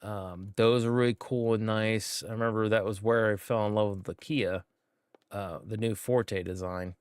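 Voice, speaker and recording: A man's voice: fairly low pitch, fairly even intonation, normal speed, smooth, no room sound. A man giving laid-back, rambling commentary while livestreaming a game, with many fillers. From United States. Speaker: gaming streamer. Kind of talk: casual gameplay commentary.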